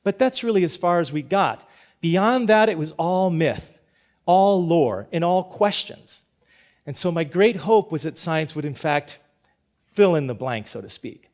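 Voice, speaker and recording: A man's voice: fairly high pitch, animated, measured pace, darkish tone. Earnest Cuban-born man giving a talk. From Cuba. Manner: earnest, storytelling, with frequent restarts. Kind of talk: prepared personal talk.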